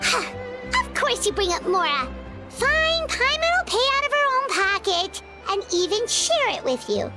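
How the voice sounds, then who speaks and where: Squeaky, very high-pitched little fairy sidekick. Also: female voice, very animated, moderate pace. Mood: huffy, bargaining. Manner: squeaky, perky, refers to herself in third person. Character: tiny excitable floating fairy girl companion. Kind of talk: video game voice acting. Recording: loud music behind.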